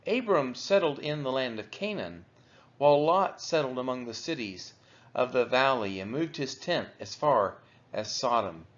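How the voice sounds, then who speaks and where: Man reading scripture with lively intonation, muffled sound. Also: mid-range voice. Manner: measured, deliberate phrasing. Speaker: Bible reader for a church daily read-along. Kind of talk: solemn scripture reading aloud. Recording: dull, lo-fi recording.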